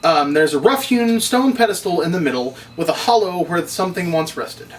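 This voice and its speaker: A man's voice: a little high, lively intonation, moderate pace. A man narrating stage directions as he reads from a script at a table read. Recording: distant mic, noisy room.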